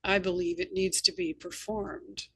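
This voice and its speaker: A woman hosting a casual author conversation, speaking in articulate, measured phrases. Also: on the low side, level tone, bright, forceful tone, slightly rough, no room sound, rough recording. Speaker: podcast host, novelist.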